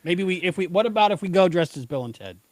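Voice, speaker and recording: A man with a somewhat high-pitched voice co-hosts a podcast. His delivery is casual and conversational. The sound is very dry, with no room echo. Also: animated, quick pace. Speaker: podcast co-host. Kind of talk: casual chat, episode discussion.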